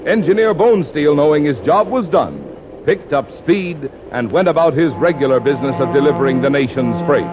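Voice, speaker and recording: A man's voice: on the high side, animated, a little fast, heavy background noise. A man reading scripted narration as a formal, polished 1950s radio announcer. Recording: dull vintage 1950s radio recording.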